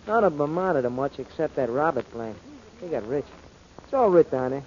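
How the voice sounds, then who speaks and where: A man's voice: on the high side, expressive, a little fast, a little mellow, natural voice. A man playing an eager, dim small-time crook and loyal sidekick in 1940s radio style, slangy and deferential. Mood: eager, naive. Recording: muffled old-time radio recording, faint noise.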